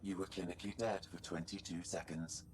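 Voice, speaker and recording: A man playing a calm, precise artificial intelligence in a video game, speaking formally and clinically. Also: low voice, fairly quick, slight background noise, lightly acted. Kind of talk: video game cutscene acting.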